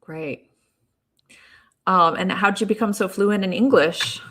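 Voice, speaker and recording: A woman's voice: mid-range voice, slow pace, fairly bright tone. A woman hosting a live online Q&A, passing questions to her panel in a friendly, conversational way. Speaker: show host.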